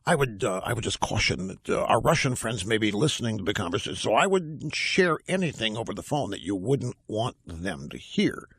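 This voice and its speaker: A man imitating a calm, serious public radio announcer in a low, hushed, deadpan voice. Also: a little fast, few pauses, breathy edge, no room sound, heavily acted. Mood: mock solemn.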